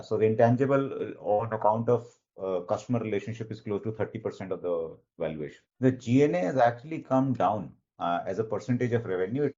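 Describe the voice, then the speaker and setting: A man speaking as a finance executive, explaining figures to analysts in a measured, matter-of-fact way with halting phrasing. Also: on the low side, mellow. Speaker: company finance executive on an earnings call. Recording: dull, band-limited conference call audio.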